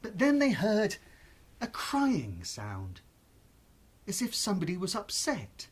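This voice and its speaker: A storyteller narrating a children's bedtime tale in an expressive, suspenseful, deliberate way. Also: mid-range voice, breathy edge.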